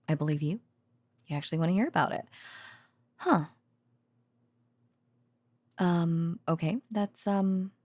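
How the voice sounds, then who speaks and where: A woman playing an affectionate, excitable researcher girlfriend in an intimate bedtime roleplay, speaking softly and breathily in a hesitant, chatty way close to the microphone. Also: low-pitched, no echo, exaggerated voice. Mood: touched, flustered. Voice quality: breathy, soft, often near a whisper. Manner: hesitant, chatty, with sighs and giggles. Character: affectionate, rambling, excitable researcher girlfriend soothing her boyfriend to sleep. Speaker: voice actor. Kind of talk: intimate ASMR-style roleplay monologue.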